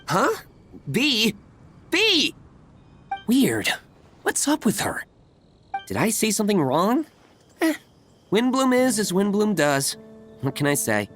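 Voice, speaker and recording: A woman's voice: medium pitch, wildly swooping pitch, slow pace, pauses often, soft background music. A woman voicing a blunt, offhand young townsperson in a video game, with a shrugging manner. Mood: puzzled.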